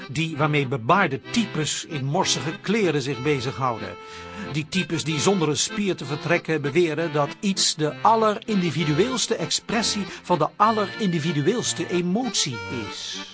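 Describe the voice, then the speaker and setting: An actor narrating a radio comedy-drama in an arch, ironic, scripted style. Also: male voice, fairly high pitch, expressive, a little slow. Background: music.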